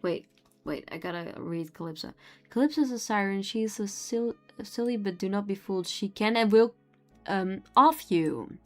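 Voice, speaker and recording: A woman's voice: mid-range voice, moderate pace, a little mellow, faint music behind. A young woman from the Netherlands with a Dutch accent, chatting casually with her stream while she draws, often laughing and trailing off mid-sentence. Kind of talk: casual chat with chat while drawing.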